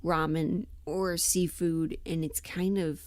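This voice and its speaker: Unhurried woman chatting casually, dry close sound. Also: low voice, level tone, a little mellow, dry recording. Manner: scattered, thinking aloud, giggly. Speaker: livestream host and audio performer. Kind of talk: casual livestream chat.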